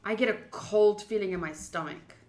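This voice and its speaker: A woman livestreaming a visual novel playthrough, switching between casual chat and expressive reading of the game text aloud. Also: medium pitch, normal speed, reverberant. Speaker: streamer playing a visual novel.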